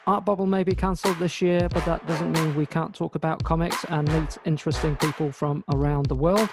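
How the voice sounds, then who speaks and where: A man's voice: fairly high pitch, steady tone, brisk, over music. A man hosting an online interview, friendly and upbeat as he introduces his guest and asks questions. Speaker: interview host.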